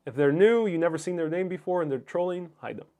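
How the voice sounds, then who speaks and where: Higher-voiced man, native Spanish speaker, chatting casually. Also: fast talker, dark tone. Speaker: livestream host. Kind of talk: casual chat, reading and answering viewer comments.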